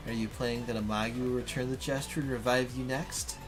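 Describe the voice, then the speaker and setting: A man's voice: medium pitch, fairly even intonation, a little fast, music in the background, lightly acted. A man voicing a mocking female villain from a visual novel, theatrical and full of scornful questions. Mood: scornful, taunting. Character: mocking, taunting female villain, a dark double.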